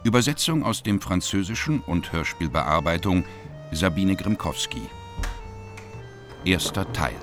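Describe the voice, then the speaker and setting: A male announcer reading the title and credits in a slow, solemn style. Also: deep voice, expressive, music in the background.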